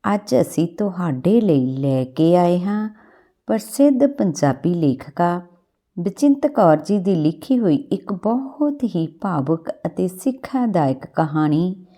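A Punjabi woman narrates a literary story in a lowish voice with a dark, mellow tone. She reads from a script as a storyteller, unhurried and expressive. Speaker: storyteller, host of a story channel.

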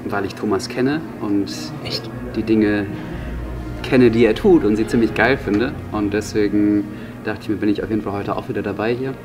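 A young man speaks in a casual, upbeat way about a seminar. His voice is slightly low. He is recorded from a distance, with music behind him. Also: moderate pace. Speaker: seminar participant. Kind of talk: interview testimonial. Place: indoor sports hall. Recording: distant mic, music bed.

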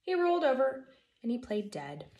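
An American woman in her thirties, a first grade teacher reading a children's storybook aloud in an expressive, emphatic way. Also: high voice, normal speed, dull audio. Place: living room at home, indoors. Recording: echoey room.

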